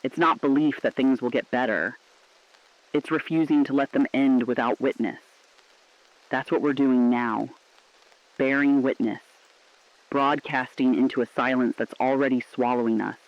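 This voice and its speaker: A man's voice: medium pitch, steady tone, moderate pace, no room sound, natural voice. Guy playing mournful last radio host at world's end, poetic and earnest, dry slightly dull sound. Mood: tender, defiant. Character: mournful, defiant last radio broadcaster bearing witness. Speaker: radio broadcaster. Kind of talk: scripted dramatic radio narration.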